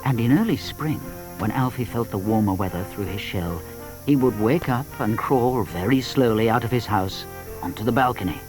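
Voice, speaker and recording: A man narrating a children's story in an expressive, dramatic storytelling manner. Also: on the low side, normal speed, music in the background, low-quality recording.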